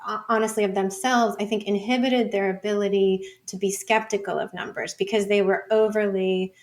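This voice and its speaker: A woman's voice: medium pitch, fairly even intonation, fairly quick. A woman explaining things informally as an interview guest, thinking aloud with plenty of "like" and "you know". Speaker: interview guest, data scholar and teacher.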